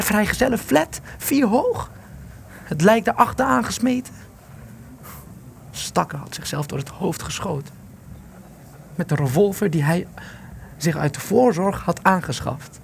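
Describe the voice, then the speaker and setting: Creaky, haunted man in hiding. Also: high voice, darkish tone, a little hiss or hum, exaggerated voice. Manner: intense, emphatic, declamatory. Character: agitated, accusing man in wartime hiding, haunted and bitter. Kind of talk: Dutch radio play acting.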